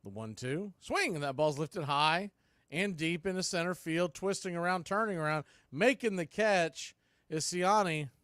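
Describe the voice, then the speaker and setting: Higher-voiced man calling baseball play-by-play. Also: lively intonation, unhurried, fairly bright tone, smooth, no room sound, studio quality. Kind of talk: live sports commentary with score updates.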